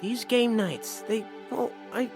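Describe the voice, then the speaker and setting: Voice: medium pitch, faint music behind. An actor playing a grudging, pompous male gamer who plays a mage in an audio drama, speaking haughtily. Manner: haughty, halting when conceding. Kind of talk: scripted audio drama acting.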